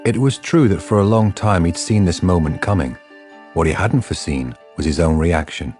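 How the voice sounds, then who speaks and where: Deep-voiced male radio drama narrator. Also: lively intonation, moderate pace, a little mellow. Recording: faint music underneath.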